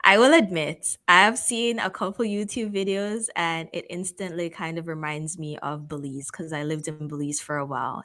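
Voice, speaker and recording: A woman from Guyana hosting a casual interview, chatty and quick to laugh. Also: sits low, fairly bright tone. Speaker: host.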